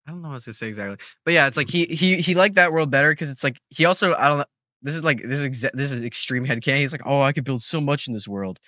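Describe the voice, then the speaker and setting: A young man chats loosely on a game stream, rambling, restarting sentences and swearing often. His tone is bright and sharp. The mic sounds dry and slightly muffled. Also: fairly high pitch, rapid-fire, no echo. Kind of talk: casual gaming stream chat.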